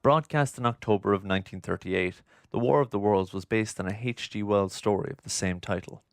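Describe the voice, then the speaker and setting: A man's voice: lowish voice, brisk, gravelly, no echo, studio quality. A man narrating a scripted documentary.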